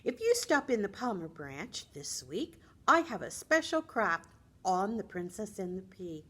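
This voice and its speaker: Husky, very animated American woman storyteller. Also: medium pitch, unhurried. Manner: expressive, teacherly, simple phrasing for children. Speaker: children's librarian presenting books. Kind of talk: storytelling talk for young children.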